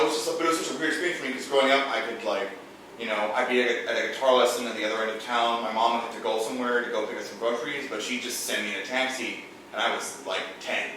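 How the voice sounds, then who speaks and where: A young man telling a rambling, profane story between drinks with friends in a casual chat. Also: medium pitch. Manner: rambling, profane, tipsy, laughing asides. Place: room indoors with friends. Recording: very echoey room, mic far off, faint noise.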